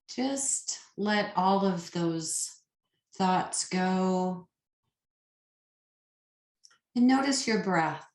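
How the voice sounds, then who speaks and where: A woman guides a meditation in a roomy, echoing space. Her voice sits a little low and she speaks very slowly. She is soothing and unhurried, leaving pauses between short phrases. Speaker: yoga nidra meditation teacher.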